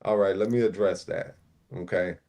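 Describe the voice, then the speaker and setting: Man, very low voice, from Puerto Rico, raised in Newark, livestream panel regular, laid-back, lots of "you know". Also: steady tone, normal speed, a little mellow. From Puerto Rico, raised in Newark, New Jersey. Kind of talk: casual livestream chat.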